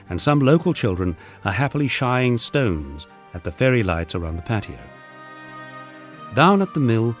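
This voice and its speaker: A man narrating a first-person memoir in a measured, storytelling way, as an audiobook reader. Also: on the low side.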